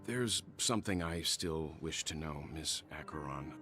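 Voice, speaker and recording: An actor playing a grave, courteous, scholarly gentleman traveler in a video game, speaking deliberately and formally. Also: a bass voice, over music. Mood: courteous, probing. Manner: deliberate, formal, weighty delivery. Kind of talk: scripted video game dialogue.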